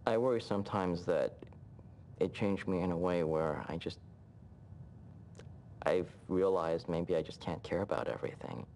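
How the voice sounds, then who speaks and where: A man's voice: normal speed, pauses often, a little mellow. A young man talking candidly in a documentary interview as a war veteran. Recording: low rumbling background noise.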